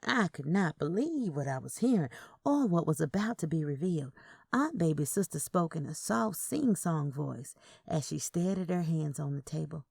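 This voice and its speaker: A woman's voice: low-pitched, lively intonation, moderate pace, gravelly, a little mellow, slightly rough, dry recording, studio quality. A woman reading a scripted first-person story as its narrator.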